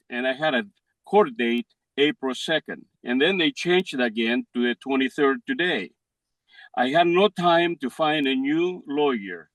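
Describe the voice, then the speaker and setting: A man in his sixties with a Greek accent, pleading his case in court in halting second-language English. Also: on the high side, no echo, poor audio. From Greece. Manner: halting, non-native phrasing. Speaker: defendant in a civil case.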